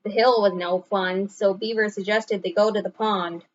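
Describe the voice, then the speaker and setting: A woman narrating a children's story aloud in an expressive storytelling manner. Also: mid-range voice, moderate pace, highs cut off. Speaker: storybook reader.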